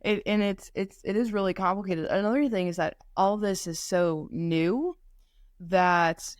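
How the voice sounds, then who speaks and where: A young woman co-hosting a podcast in a casual conversational way, halting often with fillers and restarts. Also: medium pitch, fairly even intonation, dry recording, studio quality. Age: young adult. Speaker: podcast co-host.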